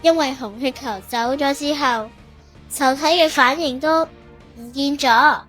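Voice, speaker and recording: A woman's voice: high voice, a little slow, over music. Woman doing a cool, stern immune-cell fighter. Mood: stern, determined. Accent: Hong Kong Cantonese.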